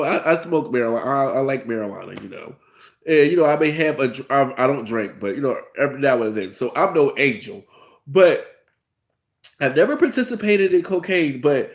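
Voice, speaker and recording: A man in his thirties with a cold, rambling through a casual review with many fillers and restarts. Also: on the high side. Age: 30s. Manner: rambling, frequent "you know" fillers, restarts. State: has a cold. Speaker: host. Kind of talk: casual reality-TV review vlog.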